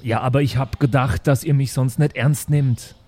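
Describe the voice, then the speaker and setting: A man playing a fretful, earnest rockstar schoolteacher, halting and insistent, in an improvised audio drama. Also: medium pitch, fairly even intonation, mellow, close to an everyday voice. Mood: pleading.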